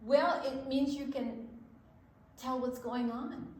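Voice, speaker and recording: An older woman co-hosting a children's storytime, explaining and reading aloud deliberately, with emphasis on single words. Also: high voice, slight background noise. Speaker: children's storytime co-host and reader.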